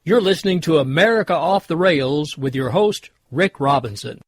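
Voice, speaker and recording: A man's voice: a little high, animated, normal speed, no room sound, studio quality. A man reading a scripted intro for a radio show. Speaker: show announcer.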